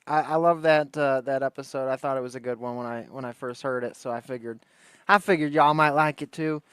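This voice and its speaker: A man's voice: medium pitch, steady tone, brisk, a bit bright, smooth, no room sound. A man hosting a livestream in casual chat, talking in an informal, slangy, rambling way. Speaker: livestream host.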